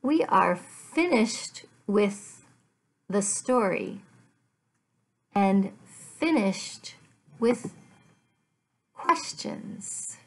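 A middle-aged woman teaching English to learners, speaking deliberately in short, carefully enunciated phrases with pauses between them. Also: medium pitch, expressive, rough recording. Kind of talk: slow language lesson for learners. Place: small living room at home, indoors.

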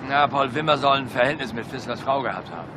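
A man's voice: medium pitch, quick pace, close to an everyday voice. An actor playing a blunt, grudging male construction-site employee under questioning in a radio play. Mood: grudging, gossipy. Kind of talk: German radio play acting. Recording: noisy outdoor site with vehicle ambience.

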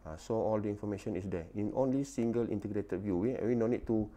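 Low, mellow Malaysian man lecturing. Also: fast. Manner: repetitive, with frequent "eh" and "okay" tags. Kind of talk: informal recorded slide lecture.

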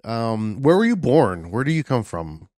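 A man's voice: medium pitch, very animated, unhurried, a little mellow, no room sound. A man in his forties hosts a podcast from a home studio, speaking into a close mic with a dry, roomless sound. His voice sits in a middle range and he keeps things loose and joking, with plenty of fillers. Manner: jokey, chatty, many fillers. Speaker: podcast host. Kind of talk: casual interview chat. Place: home studio, video call. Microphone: studio mic on boom.

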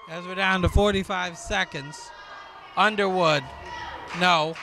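A man doing live play-by-play of a school basketball game, calling plays in slow clipped bursts with occasional shouts. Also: high-pitched, steady tone. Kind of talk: live sports commentary. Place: school gym with crowd. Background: crowd and bouncing ball.

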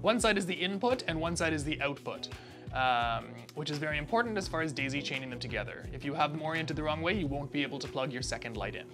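A man's voice: a little high, animated, quick pace, over music. A man in his thirties giving a step-by-step installation tutorial in a small indoor room, with frequent "uh" fillers. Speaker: tutorial presenter. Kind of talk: instructional how-to narration.

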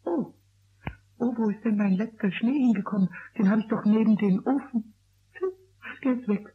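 Small male goblin played by a man, high voice, impish and excitable, stammers and rhymes; vintage muffled radio-drama sound. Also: normal speed, slight background noise, lightly acted. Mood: indignant. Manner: exclaiming, stammering, sing-song rhyming. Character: excitable, mischievous little male kobold, childlike, rhyme-loving. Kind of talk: 1960s German radio play acting. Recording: dull, lo-fi 1960s radio recording.

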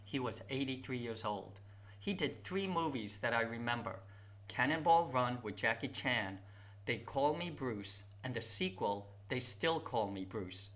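A man in his fifties narrates a personal video essay in a reflective, storytelling way. His voice is bright and forward. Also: medium pitch, lively intonation, measured pace. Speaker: YouTube host.

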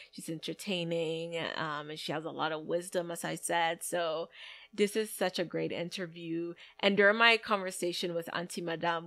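A woman's voice: on the low side, a bit bright. A woman hosting a podcast, introducing her guest in an enthusiastic, gushing way. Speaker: podcast host. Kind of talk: casual podcast intro and interview.

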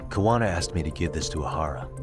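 Low-voiced, guarded detective. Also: male voice, expressive, quick pace, music in the background. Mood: serious, resolute. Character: guarded, determined male private detective in his thirties. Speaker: voice actor, video game English dub. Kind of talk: video game cutscene dialogue.

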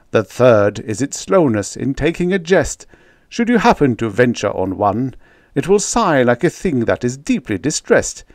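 A man voicing a pompous, blustering ship's captain in a verse reading, declaiming loudly and giving orders. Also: mid-range voice, no echo, studio quality. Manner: loud, declaiming, commanding.

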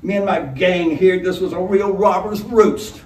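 A man voicing a menacing, rough male robber who leads a gang, with a gruff, swaggering manner. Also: high voice, fairly even intonation, unhurried, a little mellow, pitched above the performer's own voice. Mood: threatening. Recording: roomy distant mic.

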